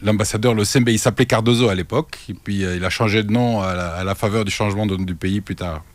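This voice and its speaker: An older man from Congo, a guest in a radio interview, speaking haltingly with many restarts. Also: lowish voice, fairly even intonation, dry recording. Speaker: guest, banking consultant.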